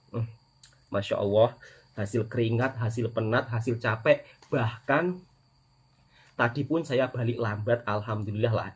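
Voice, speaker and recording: Husky middle-aged Indonesian man vlogging. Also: mid-range voice. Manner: repetitive emphasis, often addressing his audience as friends. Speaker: vlogger, channel host, migrant worker. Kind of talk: casual personal vlog monologue addressed to viewers.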